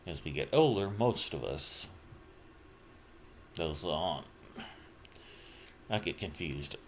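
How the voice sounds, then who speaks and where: Slow, halting low-voiced man. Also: expressive, slight background noise. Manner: halting, broken phrases with trailing pauses. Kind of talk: casual personal monologue, rambling reflection.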